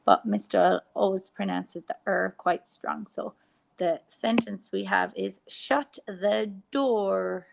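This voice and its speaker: An Irish woman teacher giving a phonics lesson to young children, sounding out letters slowly and clearly. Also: medium pitch, noisy background. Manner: slow, exaggerated sounding out of letters.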